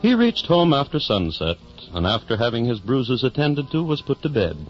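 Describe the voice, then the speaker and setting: A man's voice: mid-range voice, big pitch swings, normal speed. A man reading scripted narration for a 1950s radio drama in a dramatic storytelling manner. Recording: muffled old radio recording. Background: music.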